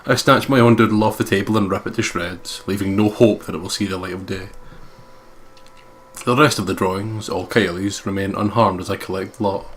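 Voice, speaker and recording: A man's voice: on the low side, slight background noise. A young man hosting a let's play, reading a visual novel aloud with playful, sweary commentary.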